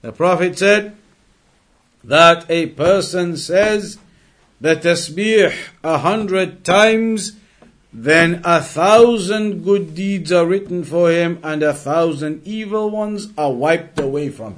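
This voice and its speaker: A man's voice: fairly high pitch, slow. A man giving a religious lesson as a teacher, moving between reciting quotations and explaining them.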